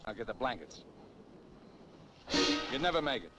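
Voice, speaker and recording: A man's voice: sing-song, moderate pace, over loud music, natural voice. An actor playing a cold-blooded American gunman in a 1950s crime film, giving curt orders in tough, slangy speech. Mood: cold, menacing. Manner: curt barked commands, tough slangy grammar. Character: menacing, cold-blooded male gunman holding drivers captive. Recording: muffled old film soundtrack, noisy car rumble.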